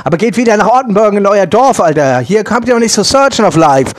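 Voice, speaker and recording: A man playing a loud, vulgar, domineering troublemaker in an improvised comedy sketch, brash and foul-mouthed, mixing English words into his slang. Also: sits high, animated, fairly quick, pitched below the performer's own voice. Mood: smug. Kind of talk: improvised comic audio sketch in slangy speech.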